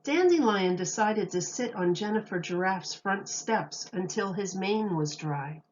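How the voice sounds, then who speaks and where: Woman, children's story reading, slow deliberate phrasing, expressive, emphatic, slightly lo-fi sound. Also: medium pitch, slight background noise. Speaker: teacher reading aloud.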